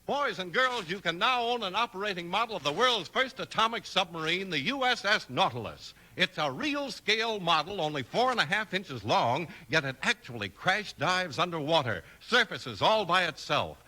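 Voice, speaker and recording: Male 1950s radio pitchman, lively swooping delivery, high voice, punchy and excited. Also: moderate pace, few pauses. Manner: emphatic, excited salesmanship. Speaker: radio announcer.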